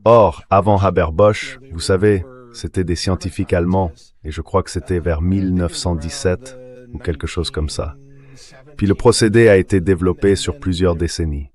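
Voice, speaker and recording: Deep ai dub guy as the expert guest, explains everything step by step. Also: steady tone, moderate pace, a little mellow. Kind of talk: dubbed interview explanation read by AI voice.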